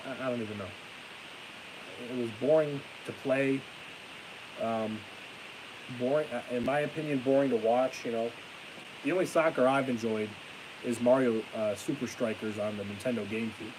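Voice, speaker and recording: A young man chatting on a live stream with a stuffy nose and a fading voice, ranting excitably and then trailing off into tired mumbles. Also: medium pitch, moderate pace, long pauses. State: stuffy-nosed, losing his voice. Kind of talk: casual live stream chat. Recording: hissy home stream mic.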